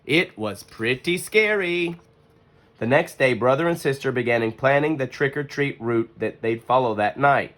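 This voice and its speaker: A storybook reader giving expressive scripted narration for children. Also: medium pitch, moderate pace.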